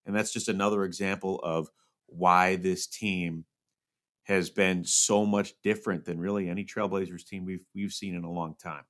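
A man with a fairly low voice hosts a sports podcast. His delivery is conversational sports commentary, the talk of someone presenting a show. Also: steady tone, moderate pace, a bit bright.

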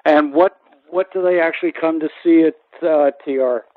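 A man's voice: on the high side, fairly even intonation, moderate pace, dry recording. A man hosting an interview, asking conversational, inquisitive questions. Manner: inquisitive, with frequent brief acknowledgements. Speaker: radio interviewer, host. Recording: dry, narrow phone-like sound.

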